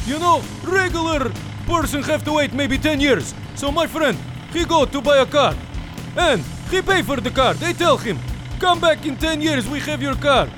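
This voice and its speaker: A man voicing a gruff Russian taxi driver in a comedy sketch, speaking broken English with a Russian accent and flat deadpan delivery. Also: extremely high pitch, normal speed, over music.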